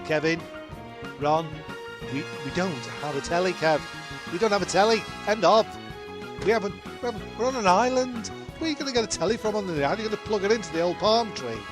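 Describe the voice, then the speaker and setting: A man's voice: high voice, fairly quick, over music, lightly acted. A man playing a blunt, argumentative castaway in a comic audio play. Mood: exasperated. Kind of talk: comedic audio play acting.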